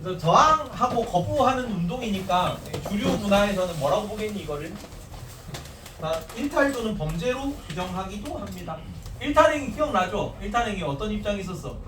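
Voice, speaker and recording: A man with a softened Gyeongsang accent teaches a classroom full of students, and his pitch swings widely as he explains. His voice sits a little high. He was recorded from a distance in an echoey room, with faint hiss underneath. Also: fairly quick. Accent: standardized Gyeongsang Korean. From Gyeongsang region. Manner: animated, talks to students casually, restarts and asides. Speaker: social studies teacher. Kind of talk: classroom lecture.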